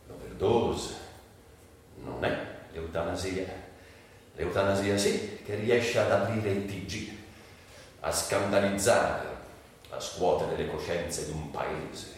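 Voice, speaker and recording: A man's voice: low voice, takes it slowly, pauses often. Casting: bitter, haunted man, cynical and volatile, theatrical radio monologue; distant, very echoey sound. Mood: grave, accusing. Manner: brooding, volatile, swinging between outbursts and murmurs. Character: troubled, bitter man recalling drug years, cynical and haunted. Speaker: stage actor interpreting a monologue. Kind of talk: dramatic literary monologue, theatrical radio acting. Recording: distant, very echoey, faint background noise.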